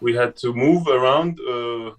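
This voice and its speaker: A young man from Switzerland, a guest telling his story in a casual conversation, halting and restarting often. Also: medium pitch, recorded from a distance. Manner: halting, with many restarts and fillers.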